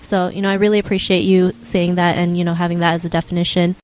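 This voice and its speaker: A woman's voice: on the low side, little pitch movement, fast, a little mellow, slight background noise, rough recording. A woman hosting an interview podcast, upbeat and affirming, with many "you know" fillers. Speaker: podcast host.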